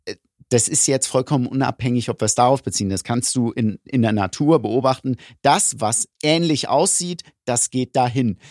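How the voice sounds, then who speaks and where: A man's voice: medium pitch, normal speed, darkish tone, no echo, clean studio sound. A man from Germany co-hosting a casual podcast, explaining his views at length in a reflective way. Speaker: podcast co-host.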